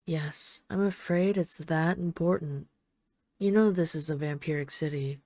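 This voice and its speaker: Low, mellow flirtatious vampire lady played by a woman. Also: dry recording, clean studio sound. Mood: probing, matter-of-fact. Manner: teasing, coaxing, deliberate with lingering pauses. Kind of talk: intimate audio roleplay addressed to the listener.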